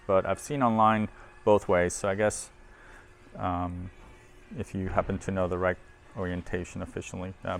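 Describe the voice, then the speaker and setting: A man's voice: low voice, creaky, slight background noise. A man presenting audio equipment in a casual hands-on demo, informal and thinking aloud as he handles the machine.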